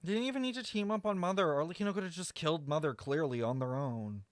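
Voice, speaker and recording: A man's voice: a little high, quick pace, no room sound. Blunt male streamer, dry close sound. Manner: blunt, sweary, critical. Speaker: game streamer. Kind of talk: casual live reaction commentary. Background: game music.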